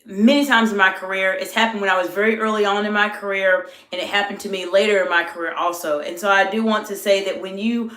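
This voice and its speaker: A middle-aged woman executive coach talks live to her viewers in a plain, middle-pitched voice that sits lower than usual because she is under the weather. She greets the chat and explains things in a steady coaching way. The room has a noticeable echo. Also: fast talker, few pauses. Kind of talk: live-stream talk to chat. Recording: echoey room.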